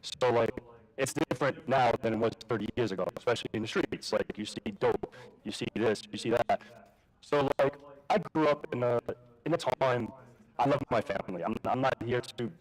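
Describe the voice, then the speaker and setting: A man's voice: medium pitch, a little fast, poor audio. A man from Queens, speaking casually at a live conference, full of fillers, restarts and swearing. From Jamaica, Queens, New York. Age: adult with a daughter. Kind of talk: personal story talk at a live event.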